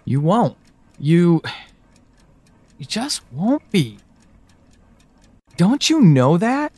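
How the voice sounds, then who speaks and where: An actor playing an anguished, pleading, devoted man in an audio drama monologue, speaking haltingly and emotionally. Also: sits high, sing-song, darkish tone, breathy edge, dry recording, exaggerated voice. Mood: pleading, frustrated. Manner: halting, hesitant, emotional, with sighs and pauses.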